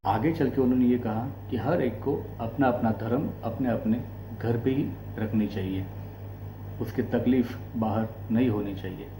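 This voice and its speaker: A man from India with an Indian accent, giving explanatory commentary as a host in deliberate, short broken phrases. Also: medium pitch, warm tone. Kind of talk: explanatory political commentary to camera. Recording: echoey room, faint background noise and hum.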